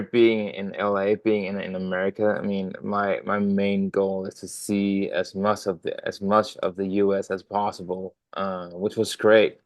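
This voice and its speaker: A man's voice: low voice, darkish tone, dry recording. A young Danish man, a drummer, chatting as a podcast guest with lots of ums and restarts. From Denmark. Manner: many fillers and restarts, friendly rambling. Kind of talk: casual interview talk.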